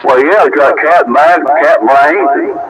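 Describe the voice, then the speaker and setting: A man's voice: on the high side, takes it slowly, fairly bright tone, highs cut off. A man bantering on CB radio, drawling and stubborn, often restarting his words. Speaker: CB radio operator. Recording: noisy CB radio transmission.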